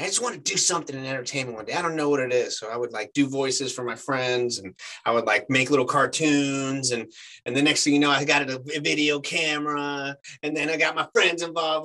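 Male guest, 40s, native Spanish speaker, lively storyteller, slightly higher voice. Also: a little fast, poor audio. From United States. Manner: animated storyteller, many fillers. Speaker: actor guest. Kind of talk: casual chat.

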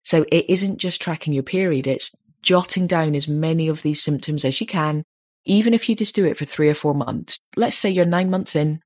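A woman in her late thirties hosts a podcast in a candid, chatty way, sharing personal asides. Her voice is slightly deep. The sound is dry and close. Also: normal speed, dry recording. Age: 30s. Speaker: podcast host. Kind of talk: casual advice chat.

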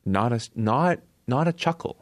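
A man with a Canadian accent telling a personal story casually as an interview guest, in short halting phrases. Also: mid-range voice, animated, no room sound. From born in Korea, raised in Canada.

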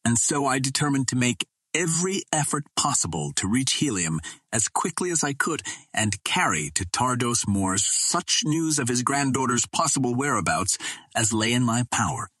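A man reading an adventure novel aloud as a storyteller, in a measured, formal way. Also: mid-range voice, very animated, bright, forceful tone, breathy edge, dry recording. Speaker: audiobook reader. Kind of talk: scripted literary narration of an adventure novel.

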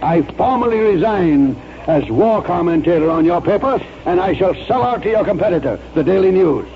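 An actor playing a proud, stubborn old retired army colonel in a 1940s radio drama, speaking in a pompous, formal, oratorical way. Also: male voice, high voice, lively intonation, moderate pace. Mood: indignant, defiant. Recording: muffled, noisy 1940s radio recording.

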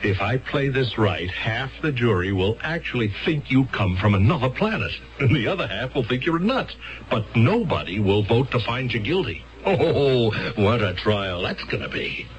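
A man acting in a 1970s radio drama as an excitable, skeptical defense lawyer, talking in a colloquial, halting, coaxing and exasperated way. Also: medium pitch, close to an everyday voice. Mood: confident. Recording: dull 1970s radio broadcast recording.

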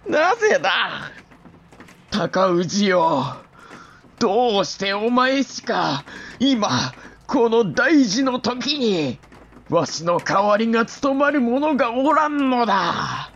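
A man playing a dying older samurai lord, an anguished father speaking halting, archaic lordly Japanese in a historical audio drama. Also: very high-pitched, sing-song, vocal fry, a bit bright, dull audio, heavily acted. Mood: anguished lament.

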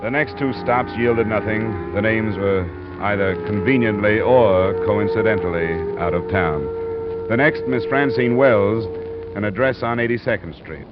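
An actor with an American accent playing a hard-boiled, wry private investigator in clipped, deadpan old radio style. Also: male voice, on the low side, a little slow, loud music behind the voice, close to an everyday voice. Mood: dry narration. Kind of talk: 1940s-50s radio detective acting and narration. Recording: muffled, noisy old radio recording.

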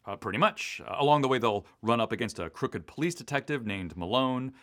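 A man's voice: medium pitch, lively intonation, a little fast, fairly bright tone. A man from the US East Coast talking casually and in detail as a writer being interviewed, in an enthusiastic, digressive way. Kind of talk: casual, detailed behind-the-scenes chat.

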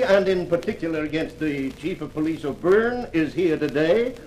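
An actor playing an imposing, gray-haired, pompous senator speaking formally in a 1950s radio drama. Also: male voice, high-pitched, darkish tone, close to an everyday voice. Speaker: actor playing a committee chairman. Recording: noisy old radio recording.

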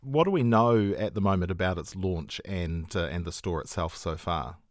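A man's voice: deep voice, moderate pace, no echo, studio quality. A man hosting a news podcast, introducing a story and interviewing a colleague.

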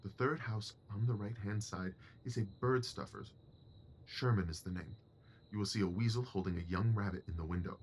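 A man playing a shrewd, commanding Victorian gentleman detective in an audio drama, precise, assured and dryly superior. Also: fairly low pitch, moderate pace, slight background noise, natural voice, poor audio. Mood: brisk, instructive.

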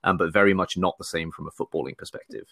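A man's voice: deep voice, steady tone, fast talker, vocal fry, sharp tone, dry recording, clean studio sound. A middle-aged man from northeast England with a mixed accent that has a faint Geordie trace, talking in a rambling way with lots of restarts and fillers. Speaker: guest. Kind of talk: casual interview talk.

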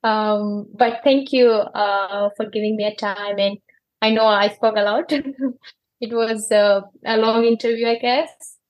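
A woman's voice: on the high side, steady tone, slow, low-quality recording. A 21-year-old woman with an Afghan accent, speaking English as a learned language and searching for words as she answers interview questions. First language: not English. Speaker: interview guest, cricketer.

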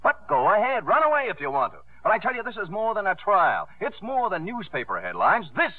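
An actor playing a brash, eager promoter with a punchy, persuasive manner in 1950s radio drama style. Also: male voice, sits high, wildly swooping pitch, fairly quick, no echo, exaggerated voice. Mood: rousing. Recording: muffled, band-limited 1950s radio recording.

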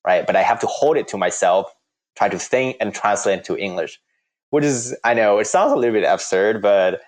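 A young man speaks English with a Thai accent, his voice sitting very low in pitch. He answers interview questions haltingly and pauses often on fillers as he searches for words. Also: animated. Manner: halting, many fillers and restarts. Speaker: interviewee, corporate employee.

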